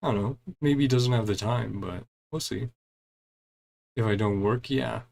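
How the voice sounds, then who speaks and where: A man's voice: fairly low pitch, normal speed, vocal fry, a little mellow. Casual man doing chatty let's-play commentary. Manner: chatty, murmurs short reactions between read lines. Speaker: gaming streamer playing through a story quest. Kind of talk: casual let's-play commentary, reading game dialogue aloud.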